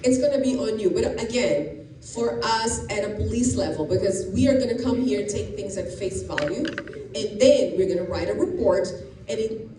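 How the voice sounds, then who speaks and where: A woman's voice: mid-range voice, moderate pace. Woman, middle-aged, from Brazil, police officer addressing teens, explanatory, distant roomy noisy recording. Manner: explanatory, frequent restarts and fillers. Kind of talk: informal talk to students. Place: school classroom or gym. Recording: echoey room, distant and noisy.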